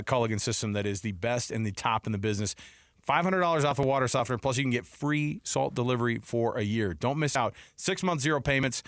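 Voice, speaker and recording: Male sports talk host, medium pitch, bright dry studio sound, informal banter and sponsor reads. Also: dry recording. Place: radio studio. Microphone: close studio microphone.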